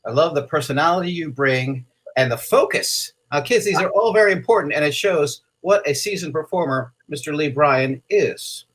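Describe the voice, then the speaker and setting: Husky higher-pitched man hosting for kids. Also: lively intonation, moderate pace, few pauses, a bit bright. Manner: effusive, encouraging. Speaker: host of a children's story program. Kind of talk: upbeat interview hosting for kids.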